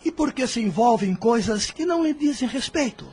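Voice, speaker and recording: An actor playing a scornful, indignant grown eldest brother in a radio drama, accusing with sharp rhetorical questions. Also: male voice, very high-pitched, lively intonation, moderate pace, husky, exaggerated voice.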